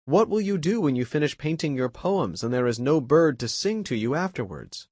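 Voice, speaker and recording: Firm worried grandmother, put on by a man, raised pitch, dry close sound. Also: sing-song, moderate pace, a little mellow, no room sound. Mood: scolding.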